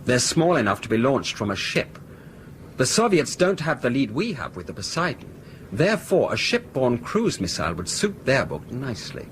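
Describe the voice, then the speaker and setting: An actor in a radio drama playing an earnest, suspicious analyst who argues insistently and precisely. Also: male voice, medium pitch, very animated, moderate pace, a little hiss or hum. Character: earnest, suspicious male Cold War analyst.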